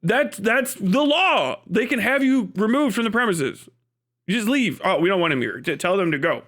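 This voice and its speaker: High-pitched, animated man ranting. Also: normal speed. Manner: exasperated, incredulous, often laughing mid-sentence; sweary, blunt. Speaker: live streamer. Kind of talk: unscripted commentary rant.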